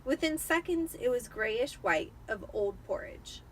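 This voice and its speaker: Tipsy lady narrating a story out loud dramatically, highish voice, faint noise behind her. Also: expressive, unhurried, far from the mic, low-quality recording. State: tipsy from wine. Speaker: amateur story reader. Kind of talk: dramatic audiobook-style storytelling.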